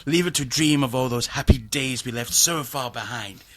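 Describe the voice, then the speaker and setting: An actor playing an ailing, nervous aristocrat in a radio drama, theatrical and overwrought, swinging between rapture and gloom. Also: male voice, medium pitch, moderate pace, background noise, lightly acted. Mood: brooding. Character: ailing, nervous, morbidly sensitive aristocrat, given to frenzy.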